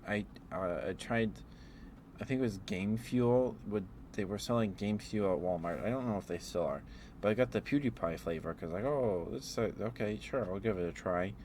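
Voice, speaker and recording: A man streaming ASMR, chatting in a halting, rambling way through casual personal stories. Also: fairly low pitch, darkish tone, a little hiss or hum.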